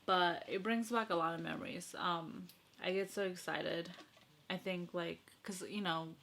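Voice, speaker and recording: A young woman chatting casually to her viewers in an excitable, gushing, giggly way. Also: fairly low pitch, a little hiss or hum. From Sri Lanka and Los Angeles. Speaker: vlogger.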